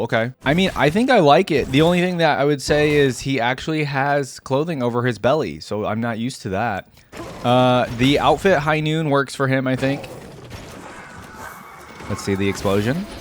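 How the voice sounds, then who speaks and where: A man's voice: mid-range voice, slight background noise. A man hosting a reaction video, speaking in an informal, enthusiastic way. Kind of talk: casual reaction commentary and story reading.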